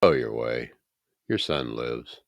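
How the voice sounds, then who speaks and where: A man voicing a calm, authoritative holy teacher in a scripture reading, grave and deliberate. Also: extremely low pitch, creaky, no room sound, clean studio sound, pitched below the performer's own voice, heavily acted. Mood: firm. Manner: grave, deliberate, pausing between phrases.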